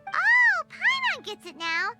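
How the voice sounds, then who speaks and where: A woman voicing a tiny floating female companion in a video game, excitable and whiny, talking about herself by name. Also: very high-pitched, takes it slowly, exaggerated voice. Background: game music.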